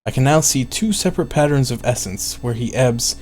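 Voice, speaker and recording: A man voicing an intent, brisk woman scholar in a fantasy story, with a precise, clinical manner. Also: mid-range voice, animated, a little mellow, lightly acted. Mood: focused, clinical. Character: intent, brisk female scholar studying souls. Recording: clearly noisy background.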